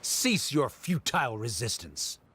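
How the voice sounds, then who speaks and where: A man's voice: medium pitch, sing-song, a little slow, a bit bright, a little hiss or hum. An actor playing a stern, condescending academic official in a video game, formal and pompous in his speech. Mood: scornful.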